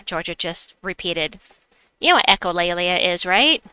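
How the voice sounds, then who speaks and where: Woman streamer w/ slight new york accent, chats to chat while gaming, bright tone, dry close sound. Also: on the low side, lively intonation, a little slow, clear, resonant tone, no room sound, poor audio. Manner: chatty, answers chat as she plays. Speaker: game streamer.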